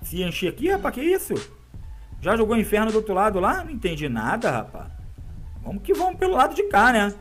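Excitable man narrating his gameplay. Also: sits high, brisk, faint music behind. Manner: excitable slangy banter, frequent laughs. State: congested with a cold, sniffling. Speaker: gaming YouTuber. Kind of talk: live gameplay commentary. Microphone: webcam framing, headphones around neck.

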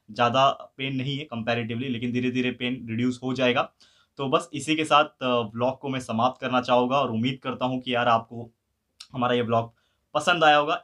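Man, 30s, vlog host, easygoing chat, phone held at arm's length, mid pitch. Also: fairly bright tone. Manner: chatty, easygoing, mixes English words into Hindi. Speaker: vlogger host. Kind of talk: casual vlog talk to camera. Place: indoor room and gym. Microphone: phone camera at arm's length.

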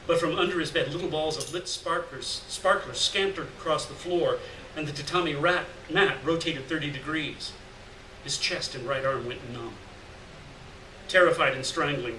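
A middle-aged man reads fiction aloud to the room in a deliberate, dramatic way. His voice sits a little high. The recording is echoey and somewhat distant, with clear noise. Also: pushed and bright. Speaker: reader of short fiction. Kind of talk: live literary story reading, scripted narration. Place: indoors at a lectern in a gallery room. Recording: roomy, distant, noisy live room recording.

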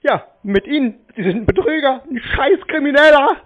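A man's voice: very high voice, expressive, normal speed, dull audio. A man in mocking phone banter with callers, answering in blunt, terse, taunting phrases. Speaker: prank-taker of scam calls.

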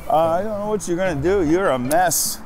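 A middle-aged man hosting a fishing show from a boat on a lake, jokey and quick to exclaim. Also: high voice, low-quality recording. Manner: excitable and jokey, exclaiming often. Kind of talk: excitable on-the-water fishing chat. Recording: music under the voice.

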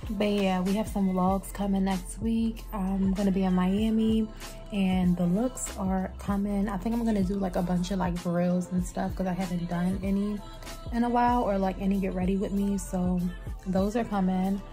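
A woman's voice: medium pitch, steady tone, normal speed, mellow, soft background music. A young adult woman with an American accent, a vlogger chatting casually to the camera. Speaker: beauty and lifestyle vlogger.

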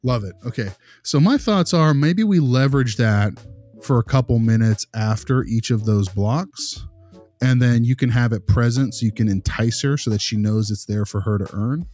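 A man playing a patient behavior consultant who gives feedback in a halting, rambling, reassuring, conversational way. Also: mid-range voice, a little mellow, soft background music, natural voice. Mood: encouraging. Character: male behavior consultant, patient, diplomatic, encouraging. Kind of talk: conversational role-play of a consultation.

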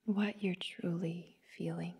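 Very slow, breathy, whispery woman guiding meditation. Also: lowish voice, steady tone. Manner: gentle, soothing, long pauses between short phrases. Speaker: meditation guide. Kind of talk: guided meditation narration.

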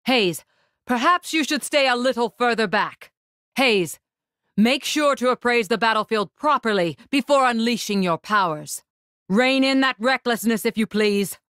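A woman voicing a proud, composed, regal warrior spirit in a video game, with formal, commanding, measured diction. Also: a little high, expressive, a bit bright, clean tone, dry recording, clean studio sound. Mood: composed, stern. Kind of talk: video game battle lines.

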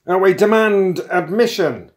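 High, bawling young philosopher. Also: male voice, animated, unhurried. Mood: belligerent. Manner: shouting, emphatic, argumentative. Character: angry young male philosopher, belligerent, bawling demands.

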